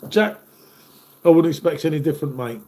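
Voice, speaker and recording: A man's voice: fairly high pitch, lively intonation, brisk, dark tone, slight background noise. A man in his fifties, hosting a casual live stream and chatting matily with viewers while stuffed up from a cold. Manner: chatty, matey, calls viewers "mate".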